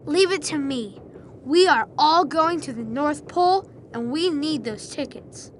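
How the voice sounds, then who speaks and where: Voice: high-pitched, lively intonation, measured pace. A performer voicing a brave, earnest boy in a video game, with a determined manner. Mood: confident. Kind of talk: video game character acting. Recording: train noise behind.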